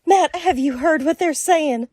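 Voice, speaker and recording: Woman acting a worried old west lady, urgent, high voice, dry recording, radio drama. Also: measured pace, darkish tone, no echo. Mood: anxious, urgent. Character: distressed frontier woman pleading with the marshal.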